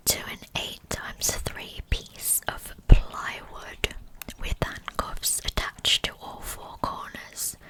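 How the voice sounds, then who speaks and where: Slow, fully whispered female ASMR narration. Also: low-quality recording. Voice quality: breathy unvoiced whisper. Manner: slow, measured, pausing mid-sentence. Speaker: ASMR true crime narrator.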